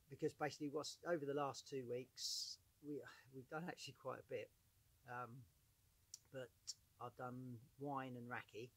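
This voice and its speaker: A man in his fifties, hoarse from bronchitis, chatting casually to camera outdoors, rambling with many fillers and coughs. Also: medium pitch, a bit bright, low-quality recording. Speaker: vlogger. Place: outdoors in a sunny yard by a woodpile. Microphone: far camera on a tripod.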